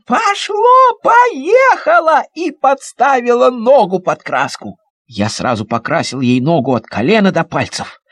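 A man narrating a children's audio story as a young boy, in an animated, theatrical way with childlike enthusiasm. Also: on the high side, moderate pace, no room sound. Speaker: audiobook performer.

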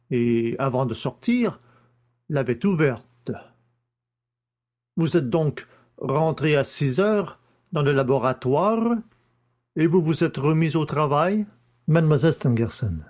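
A man plays a courteous examining magistrate in an audiobook mystery. The questions come slowly and deliberately, with strong swings of pitch. The manner is formal and methodical. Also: a little mellow. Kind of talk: audiobook character acting.

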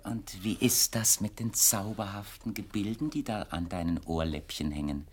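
A man's voice: low-pitched, normal speed, slight background noise, natural voice. A man playing an urbane, slyly polite young bachelor in a 1960s radio play, speaking in a courteous, mannered and hesitantly ironic way. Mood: polite, feigned innocence. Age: young adult.

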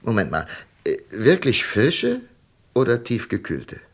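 Patient, creaky-voiced male investigator. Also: mid-range voice, huge pitch swings, full character voice. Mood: probing, intrigued. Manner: deliberate, coaxing questioning with thoughtful pauses. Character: patient, methodical male FBI investigator, probing and shrewd. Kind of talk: German radio crime drama acting.

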